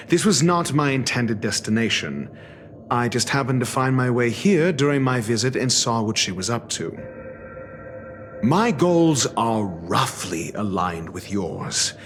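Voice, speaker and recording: Condescending genius scholar, theatrical delivery. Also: male voice, medium pitch, fairly bright tone. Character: haughty genius male scholar, condescending and unhurried. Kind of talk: video game cutscene voice acting.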